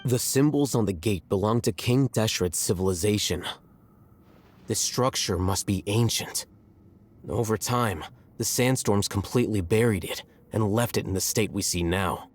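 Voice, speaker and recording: An actor playing a composed young scholar in a video game, measured, detached and analytical. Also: male voice, fairly low pitch, close to an everyday voice.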